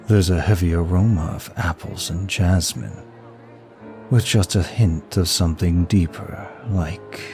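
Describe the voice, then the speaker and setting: A man's voice: deep voice, darkish tone. A man playing a seductive, teasing, ancient demon of lust in an intimate audio roleplay, lingering sultrily over his words. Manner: slow, sultry, lingering delivery. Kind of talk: intimate ASMR roleplay acting addressed to listener.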